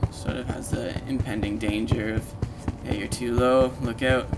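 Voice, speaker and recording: A man giving a casual, informal walkthrough of a software demo, explaining things step by step to the listener as if they were the player. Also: medium pitch, fairly even intonation, normal speed. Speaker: presenter of a video walkthrough, game sound designer. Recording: loud game music under the voice. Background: video game music and sound effects.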